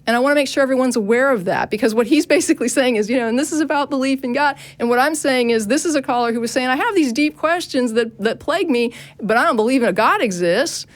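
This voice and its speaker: A woman's voice: sits high, animated, quick pace, hardly any pauses. A woman hosting a call-in show, debating a caller in an argumentative, insistent manner.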